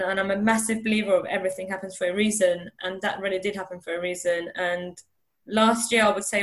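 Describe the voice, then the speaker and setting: A young woman from Iran, talking earnestly in an interview over a video call, often restarting and repeating words. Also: mid-range voice. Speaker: interview guest. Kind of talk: candid conversational interview.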